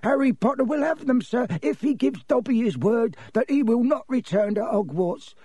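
A man's voice: very high voice, expressive, normal speed, mellow, breathy edge, no echo, pitched above the performer's own voice, heavily acted. A man voicing a small, anxious and servile but stubborn male elf, pleading as in an audiobook. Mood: urgent, pleading. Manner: pleading, refers to self in third person. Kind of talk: audiobook character voice.

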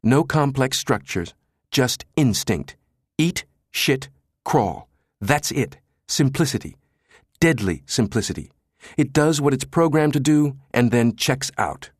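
Audiobook role: male narrator as bellowing computer chief, crude and sardonic, raised pitch, very dry studio sound. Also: expressive, takes it slowly, slightly rough, dry recording. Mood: grim. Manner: brash, sardonic, spitting out words. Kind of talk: audiobook character dialogue.

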